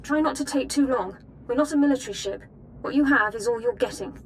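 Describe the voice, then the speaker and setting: A woman's voice: sits high, fast, a little hiss or hum, close to an everyday voice. A woman playing a brusque starship security coordinator in a video game, curt and matter-of-fact. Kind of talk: scripted game voice acting.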